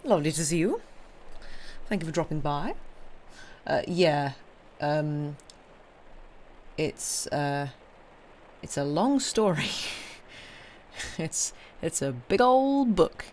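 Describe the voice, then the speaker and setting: A woman's voice: sits low, animated, slow pace, pauses often, a little mellow, a little hiss or hum. A woman chatting casually to live stream viewers as host, informal and hesitant as she thinks aloud.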